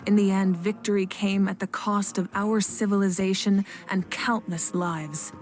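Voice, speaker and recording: A woman's voice: mid-range voice, fairly even intonation, measured pace, a little mellow, faint music behind, close to an everyday voice. A woman playing a composed, commanding female leader in a dramatic video game. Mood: grave.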